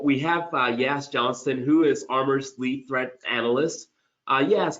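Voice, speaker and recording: Male webinar host, mid pitch, slightly hoarse, chatty with restarts, muffled lo-fi audio. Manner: conversational, with frequent restarts and fillers. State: losing his voice a little. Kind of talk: corporate webinar presentation.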